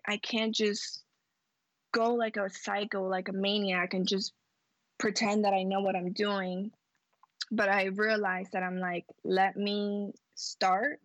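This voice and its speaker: A woman's voice: medium pitch, vocal fry, a bit bright, no room sound, rough recording. A woman entrepreneur as a podcast guest, earnest and upbeat, talking casually and saying "like" a lot. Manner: earnest, upbeat, heavy use of "like". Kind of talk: casual interview talk.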